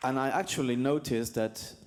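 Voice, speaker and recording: A man's voice: medium pitch, normal speed, slight background noise. Joking conference moderator, a man. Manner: loose, joking, filler-heavy. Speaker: panel moderator. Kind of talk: casual moderating. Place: conference stage.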